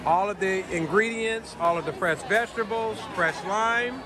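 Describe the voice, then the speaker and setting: A man in his thirties vlogging about food, talking into a phone at arm's length with plenty of excited exclamations. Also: high-pitched, normal speed. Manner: enthusiastic, exclaims wow often. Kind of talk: casual vlog. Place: busy indoor restaurant. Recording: loud restaurant crowd and music behind.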